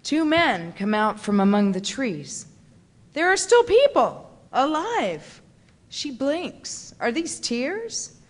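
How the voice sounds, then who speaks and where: A woman narrating a dramatic literary reading on stage with suspenseful, deliberate phrasing. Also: high voice, sing-song. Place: large theatre stage. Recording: reverberant theatre hall.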